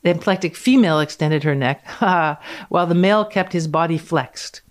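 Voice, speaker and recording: A woman reading a scientific paper aloud in a measured, precise way with dry amusement, as a podcast guest. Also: lowish voice.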